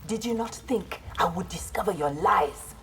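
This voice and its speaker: A woman's voice: on the low side, lively intonation, normal speed, heavy background noise. A woman playing a proud, honorable armored ninja in a video game, speaking formally and with earnest resolve. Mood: resolute. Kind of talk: video game character dialogue.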